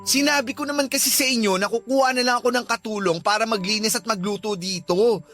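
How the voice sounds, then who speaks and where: A woman's voice: on the high side, steady tone, fast, a bit bright, soft background music, close to an everyday voice. A woman playing an irritated grown child who scolds an elderly mother in an exasperated, nagging way, as in a radio drama. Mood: frustrated. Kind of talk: Tagalog radio drama acting.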